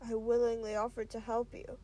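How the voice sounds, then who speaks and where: A woman voicing a calm, reassuring and slightly blunt young male student tutor in a story, speaking in a restrained, matter-of-fact way. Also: a little high, fairly quick, close to an everyday voice. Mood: calm, patient.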